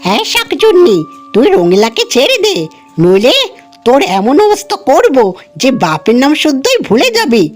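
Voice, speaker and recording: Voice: sits high, huge pitch swings, moderate pace, faint music behind. A performer voicing a boastful but cowardly monkey exorcist in a cartoon fable, blustering and exclaiming. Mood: boastful, threatening. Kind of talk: cartoon character acting.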